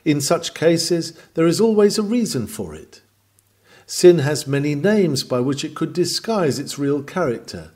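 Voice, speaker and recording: A man reading a Victorian sermon aloud in an oratorical manner, with deliberate pauses and emphasis. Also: fairly high pitch, very animated, a little mellow. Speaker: reader of a historical sermon.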